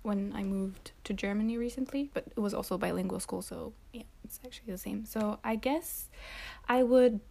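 A young woman from Rwanda whose first language is French, chatting casually with a friend in a relaxed, soft-spoken way. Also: mid-range voice, fairly quick, creaky. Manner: relaxed, soft-spoken, lots of encouraging "mm-hmm". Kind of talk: casual chat between friends.

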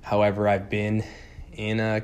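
A young man talking casually and haltingly on his solo podcast, using informal slang. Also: lowish voice, completely flat delivery, darkish tone. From California, born in Puerto Rico. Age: young adult. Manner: informal, slangy, hesitant with frequent pauses. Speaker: podcast host.

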